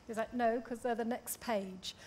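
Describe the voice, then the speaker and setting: A woman's voice: a little high, fairly even intonation, normal speed, a little mellow. A woman speaking informally and chattily as a panellist, telling anecdotes. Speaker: panellist, radio drama director. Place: theatre stage, seated panel. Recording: live stage, clear background noise.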